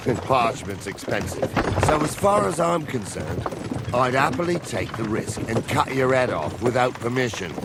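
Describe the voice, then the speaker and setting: Sardonic medieval captain, lively intonation. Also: male voice, mid-range voice, normal speed, heavy background noise. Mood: coldly menacing. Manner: sarcastic, blunt. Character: stern, sardonic male medieval captain, scornful of criminals. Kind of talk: video game drama acting. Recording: loud outdoor cart and hoof ambience.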